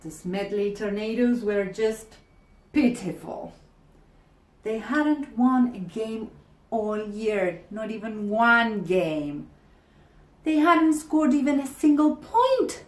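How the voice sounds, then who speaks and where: Slow, expressive woman reading in an echoey room. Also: on the high side. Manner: expressive, dramatic, deliberate. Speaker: storybook reader. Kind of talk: read-aloud children's story narration.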